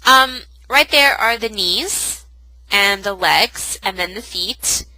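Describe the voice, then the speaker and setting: A woman's voice: mid-range voice, slow, bright, forceful tone, no echo, poor audio. A young woman with a cold, sounding nasal and sniffly, chatting in a casual, self-mocking home vlog. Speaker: vlogger. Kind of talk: casual pregnancy update vlog.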